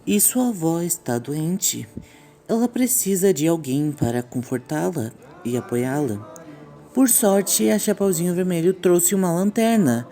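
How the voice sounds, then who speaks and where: Mellow, lively Brazilian woman narrator. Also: fairly low pitch, measured pace, slight background noise. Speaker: YouTube host. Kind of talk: scripted commentary on horror animation.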